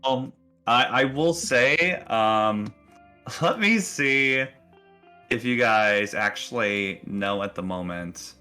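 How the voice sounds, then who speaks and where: A man's voice: mid-range voice, expressive, takes it slowly, sharp tone, clear, resonant tone, faint music behind. A man working as game master, narrating casually in an easygoing, encouraging way. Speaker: game master of a tabletop roleplaying game.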